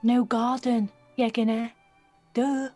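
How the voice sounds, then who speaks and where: A streetwise nineteen-year-old woman in an audio play, blunt and guarded. Her voice is fairly high and noticeably husky. She talks in clipped, cheeky slang over faint music. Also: little pitch movement, slow pace, dark tone. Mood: teasing, guarded. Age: young adult. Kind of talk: naturalistic audio drama dialogue.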